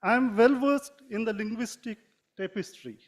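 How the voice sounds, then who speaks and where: A man with an Indian English accent and a high voice reads a formal prepared speech. He speaks in a measured way and sometimes stops to correct a word. His first language is Konkani, and he comes from Mangalore. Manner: measured, formal reading with occasional self-corrections. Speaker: writer giving a talk.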